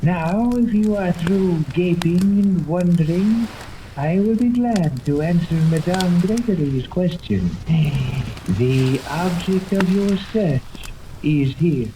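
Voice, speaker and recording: An actor in a 1930s radio serial playing a cold, haughty scientist guide, precise and condescending. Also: male voice, sits high, takes it slowly. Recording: old radio recording with rain-like noise.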